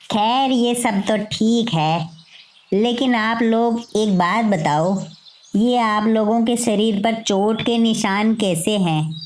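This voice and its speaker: A woman voicing a friendly male penguin in a Hindi animated moral story, speaking in a deliberate way. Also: medium pitch, natural voice.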